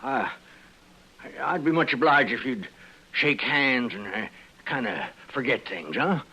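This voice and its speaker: An American actor playing a stubborn, grudging old rancher in a 1950s radio western, with folksy, cantankerous rural speech. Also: male voice, mid-range voice, animated, a little slow, bright, forceful tone, husky, exaggerated voice. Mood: flustered, softened. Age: older. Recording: dull vintage radio recording.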